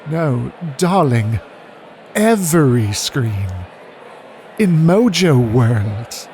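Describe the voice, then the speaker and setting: Snooty sarcastic butler type, really slow n languid, swoopy high-ish voice. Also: male voice, very animated, a little mellow, slight background noise, heavily acted. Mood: dry sarcasm. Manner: arch, languid, mocking. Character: droll, prim major-domo servant, archly sarcastic and unflappable. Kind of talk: audio drama acting.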